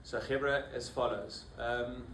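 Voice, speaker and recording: Male rabbi, 50s, South African accent, tired and halting delivery, distant mic with background noise. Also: mid-range voice, steady tone, a bit bright, reverberant. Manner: halting, many fillers and restarts. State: low-energy, weary. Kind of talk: informal talk to a small group.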